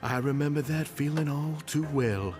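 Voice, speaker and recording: A man's voice: on the high side, normal speed, natural voice. An actor playing an earnest, effusively polite male society leader in a fantasy game. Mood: earnest, honored. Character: deferential, earnest male head of a reform society. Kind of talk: scripted game dialogue.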